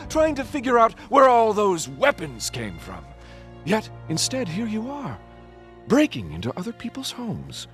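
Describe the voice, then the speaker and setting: A man's voice: on the high side, fairly bright tone. A man voicing a suave, scheming, grandiose villain in a video game, theatrical and condescending.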